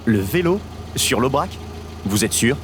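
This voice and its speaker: A woman voicing a skeptical local resident in an animated explainer, firing off doubting questions. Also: very deep voice, measured pace, pitched below the performer's own voice. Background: background music.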